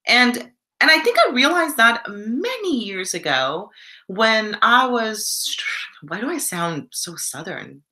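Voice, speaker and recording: A woman's voice: a little high, very animated, slow, bright, forceful tone. A young Canadian woman in casual live stream chat, reflective and encouraging, reading viewer comments aloud.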